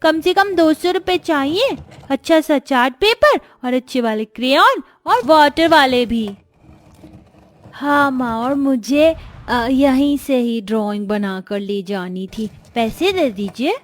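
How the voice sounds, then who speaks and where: A woman's voice: high-pitched, lively intonation, takes it slowly, no room sound. A woman voicing a whiny, demanding young schoolchild who pleads with parents in a moral-story cartoon.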